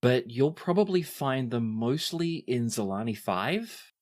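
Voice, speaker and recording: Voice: mid-range voice, lively intonation, a little slow, a little mellow. A game master improvising and narrating in a tabletop role-playing game.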